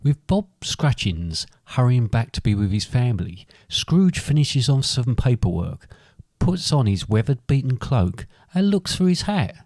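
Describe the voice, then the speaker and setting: A man's voice: mid-range voice, very animated, unhurried, hardly any pauses, a little mellow, no room sound, studio quality. A man, a podcast co-host, reading scripted storybook narration for a comic pantomime.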